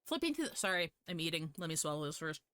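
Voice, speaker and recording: A woman's voice: low voice, very animated, fast talker, a bit bright. A woman livestreaming a game, reading its text aloud and adding casual, hesitant commentary.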